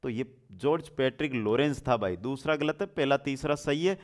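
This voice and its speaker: A man's voice: mid-range voice, normal speed. A man teaching an exam lesson in question-and-answer form, repeating answers and addressing students familiarly with rhetorical questions.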